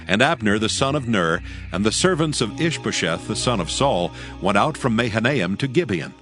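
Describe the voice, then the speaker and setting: A male narrator reads ancient scripture in a lowish voice. His phrasing is solemn and measured, with faint music playing underneath. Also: expressive, a bit bright.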